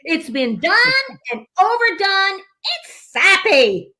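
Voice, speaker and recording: A woman's voice: very high voice, very animated, slow pace, pushed and bright, exaggerated voice. A woman playing a cranky, cynical old woman who is blunt and sardonic, in an amateur play reading. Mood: scornful. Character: cranky, foul-mouthed, hard-of-hearing old woman, cynical.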